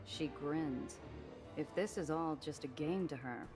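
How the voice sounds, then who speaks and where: Dry hardboiled smuggler woman for a noir game, low voice, world-weary, music playing behind. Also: unhurried. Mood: suspicious, confident. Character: wry, guarded streetwise woman smuggler, hardboiled narrator. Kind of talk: noir first-person narration and game dialogue.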